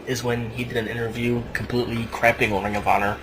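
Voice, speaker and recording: A man hosting casually, reading news and explaining it in a halting way. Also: mid-range voice, little pitch movement, a bit bright. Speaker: podcast host. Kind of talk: casual wrestling news commentary. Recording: noisy home podcast recording.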